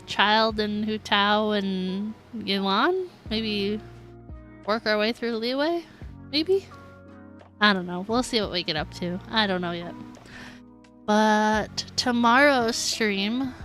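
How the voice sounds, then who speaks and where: Slow-paced chatty woman streamer over music. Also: fairly high pitch, fairly bright tone. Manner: giggly, chatty, thinks aloud. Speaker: game streamer. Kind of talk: casual livestream chat while playing a game. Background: background music.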